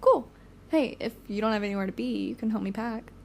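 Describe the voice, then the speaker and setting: A woman's voice: a little high, fairly quick, gravelly, a little mellow. An actress playing a weary, frank young woman, a supportive friend, in casual audio drama dialogue. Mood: friendly. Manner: casual, candid.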